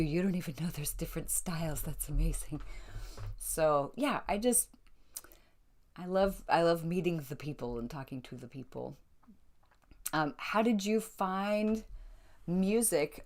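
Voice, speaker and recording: A woman with an American accent chatting casually to an online audience, telling stories in an animated way and acting out the remarks she quotes. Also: sits low. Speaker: belly dancer telling stories of her career.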